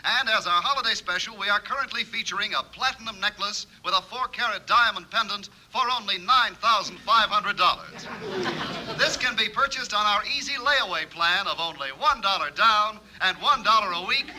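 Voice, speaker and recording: An actor playing a salesmanlike radio announcer in an old comedy broadcast. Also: male voice, high-pitched, normal speed, pushed and bright. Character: smooth-selling male announcer on a car radio. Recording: noisy vintage radio recording.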